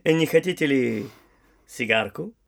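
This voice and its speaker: An actor in an audio play of a classic comedy, playing a young, foppish, self-satisfied braggart from the capital with an affected, drawling, giggly manner. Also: male voice, medium pitch, expressive, a little slow, creaky. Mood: jovial, boastful. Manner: affected, drawling, giggly, with hesitant filler sounds.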